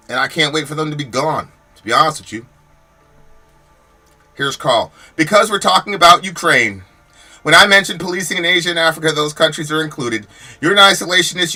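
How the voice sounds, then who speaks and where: An American man argues into the mic with a sharp, forceful edge, and his voice sits a little higher than most. He fires off rhetorical questions and restarts sentences as he presses his point. It sounds like a podcast host answering his chat. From United States. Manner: repeats words and restarts when worked up. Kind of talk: heated livestream commentary answering chat comments.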